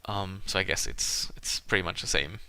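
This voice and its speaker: A man's voice: deep voice, sharp tone, clear, resonant tone. A man from Germany with a German accent and German as his native language, chatting casually and hesitantly as he hosts a gaming video, rambling along with self-conscious asides. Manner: hesitant, halting, chuckles at himself. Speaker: Let's Play gaming video host.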